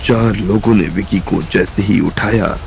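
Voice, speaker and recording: A man narrates an audiobook drama slowly and deliberately, his low voice full of gravel. Soft music plays behind him the whole time. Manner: slow, measured storytelling.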